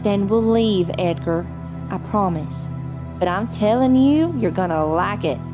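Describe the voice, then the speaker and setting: A woman's voice: medium pitch, animated, unhurried, natural voice. An actress playing an impulsive, flippant outlaw woman who threatens hostages casually. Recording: loud background music.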